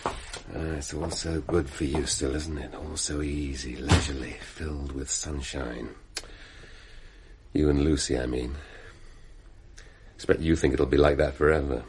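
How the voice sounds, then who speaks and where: A man's voice: a bass voice, fairly even intonation, a little slow, a little mellow, a little hiss or hum. An actor playing a cynical middle-aged British husband and businessman in a radio play, mocking and insinuating in manner. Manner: mocking, insinuating, drawling rhetorical questions.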